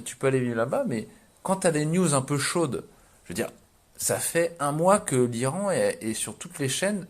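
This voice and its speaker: A man in his thirties giving casual, slangy explanatory commentary, thinking aloud with hesitations and repetitions. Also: mid-range voice. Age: 30s. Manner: informal, slangy speech. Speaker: vlogger.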